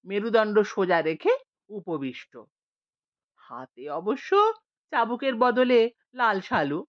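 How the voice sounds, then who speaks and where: A woman narrating a comic story expressively, with theatrical emphasis and dramatic pauses. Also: medium pitch, dull audio.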